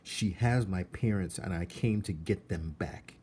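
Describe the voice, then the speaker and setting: A man's voice: low voice, a little slow, mellow, a little hiss or hum. A man voicing a brave, stubborn, curious young girl in a storybook, plain-spoken and questioning. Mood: defiant.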